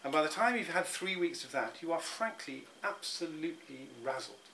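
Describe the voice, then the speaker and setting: A man's voice: fairly high pitch, expressive, normal speed, creaky, bright, forceful tone, a little hiss or hum. A middle-aged man, speaking in an interview and often restarting his words as he thinks. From England. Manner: thoughtful, many restarts and repeated words. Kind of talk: reflective personal interview.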